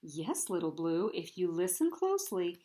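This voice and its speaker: A woman voicing a gentle father whale in a storybook, patient and reassuring as he explains. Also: on the low side, very animated, normal speed.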